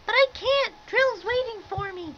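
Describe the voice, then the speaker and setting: A man playing an excitable, stubborn little girl with a squeaky childlike voice, in 1950s radio drama style. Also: measured pace. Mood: impatient. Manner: eager, impatient, breathless child's chatter. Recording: dull lo-fi radio recording, faint hiss.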